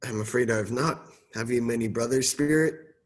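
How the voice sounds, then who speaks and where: A man's voice: mid-range voice, moderate pace, lightly acted. A man playing a sour old miser, now humbled, speaking formally and earnestly in a radio play.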